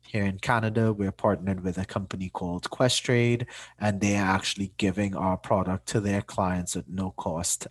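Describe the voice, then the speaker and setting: A man's voice: fairly low pitch, no echo. A man, a startup founder giving a plain-spoken, informal personal talk to an online community. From Trinidad and Tobago. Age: 30s. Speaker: startup founder and chief executive.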